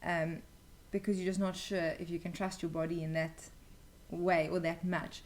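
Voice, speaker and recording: A woman's voice: on the low side, level tone, moderate pace, darkish tone. A young woman telling a personal story as an interview guest, casually and candidly, with some hesitation. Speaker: interview guest, new mother.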